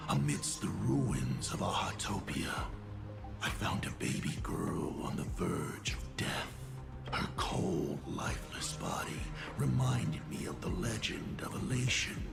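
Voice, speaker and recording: A sinister video game villain lord, deep in gravel and fry, drawing out every taunt. His pitch swoops theatrically between breathy murmurs and mocking flourishes, over loud music. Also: heavily acted. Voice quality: gravelly, breathy near-whisper. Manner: slow, drawling, theatrical taunting.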